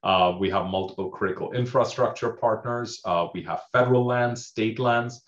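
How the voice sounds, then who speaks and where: A man's voice: on the low side, moderate pace. A man whose first language is Hindi, speaking as an emergency management panelist on a video call, explaining with many fillers and checking "okay?". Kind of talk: panel discussion. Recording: roomy, dull video call audio.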